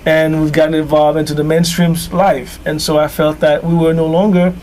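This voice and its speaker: A man from Haiti, founder of a newspaper, answering interview questions in a measured storytelling way. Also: fairly high pitch, little pitch movement, a little mellow, a little hiss or hum, poor audio.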